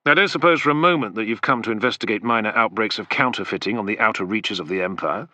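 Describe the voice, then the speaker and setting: A man's voice: on the low side, quick pace, pushed and bright, no echo. A man voicing a young, impatient male officer in command in an audiobook, speaking curtly. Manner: curt, commanding.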